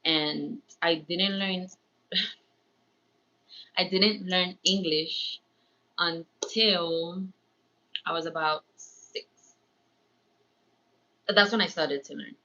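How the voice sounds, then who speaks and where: Bright, chatty New woman. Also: lowish voice, steady tone, very slow, long pauses. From born in Ecuador, raised in New York. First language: Spanish. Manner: chatty, rambling, slips into Spanish phrases. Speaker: livestream host, crafts vlogger. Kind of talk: casual livestream chat. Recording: slightly dull, lo-fi stream audio.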